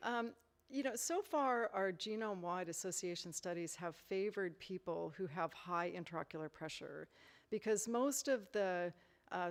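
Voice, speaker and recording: Woman, lecturer in Q&A; low, fry-edged voice; hesitant, restarting phrases as she thinks. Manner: thoughtful, many "you know" fillers and restarts. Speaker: visiting lecturer answering questions. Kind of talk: conference Q&A answers. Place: lecture hall.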